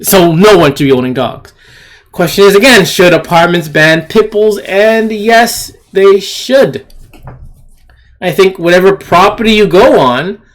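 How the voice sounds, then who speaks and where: Slow-paced, indignant opinionated commentator. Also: mid-range voice. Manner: indignant, blunt, disdainful; repetitive, emphatic, halting delivery. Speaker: video commentator.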